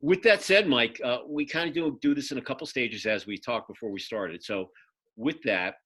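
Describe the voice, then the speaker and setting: A man's voice: mid-range voice, normal speed, a bit bright. A man from Philadelphia hosting an interview show, talking in a casual, chatty and rambling way. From Philadelphia, United States. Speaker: podcast host. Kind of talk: casual conversational interview introduction.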